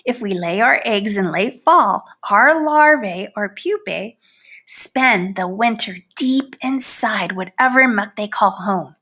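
A woman's voice: mid-range voice, expressive, takes it slowly, pushed and bright, husky, pitched below the performer's own voice, exaggerated voice. A woman voicing a proud, easily hurt housefly in a storybook, with theatrical, pleading and boastful delivery. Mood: proud, explaining. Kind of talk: storybook read-aloud in character.

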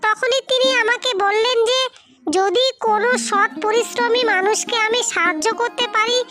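A woman voicing a kindly magical snake-woman cursed into a bird in a cartoon fairy tale, with a gentle, earnest, reassuring manner. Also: extremely high pitch. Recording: music behind the voice.

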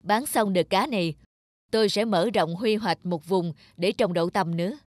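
A woman's voice: mid-range voice, moderate pace, music in the background, lightly acted. A woman playing an earnest, determined young male fish farmer in a cartoon. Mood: confident instructing. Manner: earnest, resolute. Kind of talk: cartoon dub acting.

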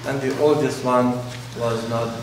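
Russian-speaking priest guy, 40s, slow careful sermon, mic far off, big church echo, faint room hum. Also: medium pitch, level tone. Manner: slow, pausing delivery in short phrases. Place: large church, indoors. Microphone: camera at a distance. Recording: very echoey church, distant mic.

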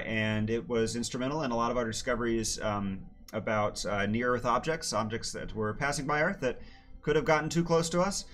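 Slightly hoarse man live-streaming. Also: medium pitch, fairly bright tone. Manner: friendly, filler-heavy with many "uh"s. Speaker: planetarium educator hosting a livestream. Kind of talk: casual live-stream talk.

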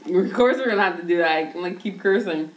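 A woman's voice: fairly low pitch, fast talker. Teasing young woman on a webcam. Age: young adult. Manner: teasing, blunt, dry. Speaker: co-host. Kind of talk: casual joking banter. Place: small bare indoor room. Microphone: laptop webcam across the table. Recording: echoey room with faint hiss.

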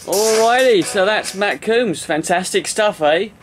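A man's voice: high voice, unhurried, loud music behind the voice. A middle-aged man hosting a podcast, chatting casually about working as an entertainer. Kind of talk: casual interview chat. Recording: roomy, echoing room.

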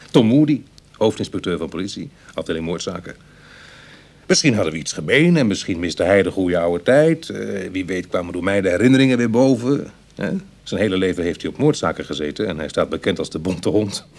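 A man playing a roguish, world-weary reformed burglar in a radio drama, speaking in a musing, colloquial way with a husky, gravelly voice. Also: low voice, expressive, brisk, darkish tone. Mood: musing, conversational. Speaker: actor in a radio play. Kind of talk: Dutch radio drama acting, dialogue and confessional narration.

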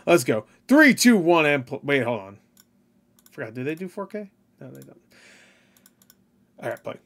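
A man's voice: fairly high pitch, sing-song, normal speed, fairly bright tone. A man in his thirties giving off-the-cuff reaction commentary, rambling and joking with crude slang. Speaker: reaction video host. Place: small indoor room at home.

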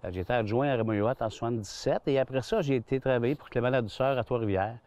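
A man's voice: medium pitch, fast, a little mellow. A man in his sixties with a Québécois French accent, a golf club manager chatting in an outdoor interview, rambling with many restarts and fillers. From Quebec. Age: 60s. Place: outdoors on a golf course under trees.

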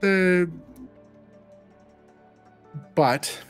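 A man's voice: sits high, drawn-out words, clear, resonant tone, faint music behind. A man co-hosting a podcast, casually discussing films and thinking aloud in loose, chatty fragments. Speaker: podcast co-host.

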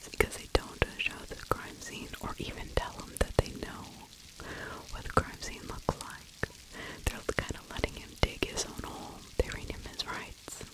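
A middle-aged woman speaks entirely in a breathy whisper, very close to the mic. She narrates in an unscripted true crime ASMR style, halting between short phrases. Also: poor audio. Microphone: large microphone with furry windscreen, very close.

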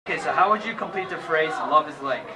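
A teenage student interviewer with a handheld microphone, briskly asking classmates the same question in a school hallway. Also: male voice, fairly high pitch, loud music behind the voice. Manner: brisk repeated prompting questions. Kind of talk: casual street-style interviews. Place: busy school hallway, indoors. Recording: loud crowd chatter behind.

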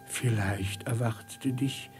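An actor playing a fatalistic, knowing beggar sage in a 1950s radio drama, with creaky voice and measured, cryptic delivery. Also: male voice, mid-range voice, steady tone, over music, lightly acted.

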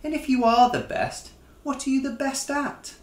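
A man voicing a brisk, unimpressed storybook lioness with a chiding, matter-of-fact manner. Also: very high voice, echoey room, pitched above the performer's own voice.

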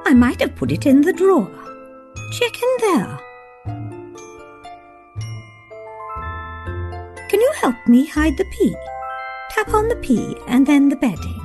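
A woman playing a shrewd, friendly older queen in a children's storybook, theatrical and deliberate. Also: high-pitched, huge pitch swings, darkish tone, faint music behind. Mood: coaxing, friendly. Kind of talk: children's storybook app character acting.

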